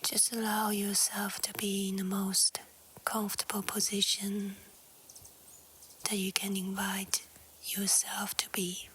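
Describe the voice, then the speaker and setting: A woman with a soft, breathy, whispery voice calmly guiding a relaxation meditation in short, gentle phrases. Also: medium pitch, slight background noise. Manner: calm, hushed, gentle, with short pausing phrases. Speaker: yoga nidra meditation guide. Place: outdoors in nature. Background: faint natural ambience.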